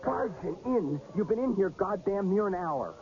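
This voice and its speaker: An actor playing a gruff, blunt carnival boss in a radio drama, impatient and irritably bullying his workers. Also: high voice, lively intonation, moderate pace, darkish tone. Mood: exasperated. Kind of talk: 1980s radio drama acting. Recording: dull old radio recording, slight noise.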